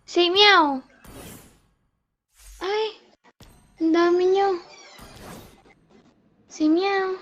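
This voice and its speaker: A Filipino woman streams a game in a high, clearly feminine voice. She talks at a leisurely pace, playful and full of exclamations, mixing in English. Soft music plays faintly behind her. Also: fairly even intonation, extremely slow, pauses often, muffled recording. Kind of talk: casual gaming chat.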